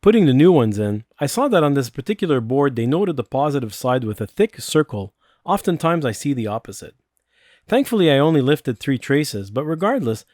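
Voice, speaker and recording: A man's voice: medium pitch, a little mellow, dry recording. A man narrating a scripted repair voice-over as the host of a how-to series. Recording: dry close voice-over with music behind. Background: background music.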